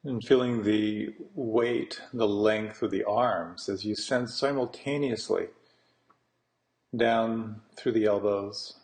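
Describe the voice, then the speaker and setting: Male meditation teacher, lowish voice, unhurried guided-practice delivery with deliberate pauses. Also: steady tone, a little mellow. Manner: slow, deliberate, with long pauses between phrases.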